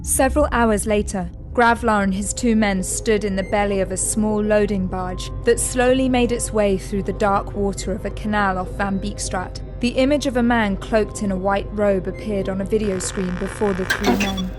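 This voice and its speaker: A woman narrating a drama in steady, scripted storytelling. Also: medium pitch, moderate pace, hardly any pauses, music in the background.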